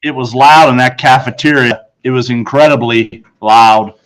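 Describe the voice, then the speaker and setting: A man reads a children's book aloud in an average-pitched voice. He is a teacher and tells the story expressively. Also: steady tone, normal speed, over a noisy crowd, low-quality recording.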